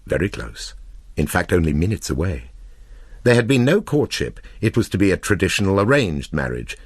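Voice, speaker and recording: A man narrating a literary short story in a measured, expressive storytelling manner with deliberate pauses. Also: low voice, slightly rough, no room sound, clean studio sound.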